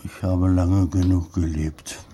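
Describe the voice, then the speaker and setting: An actor playing a weary, melancholy old grandfather, gravelly and resigned, in a literary audio drama. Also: low-pitched, flat delivery, slow pace, dark tone, a little hiss or hum. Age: older.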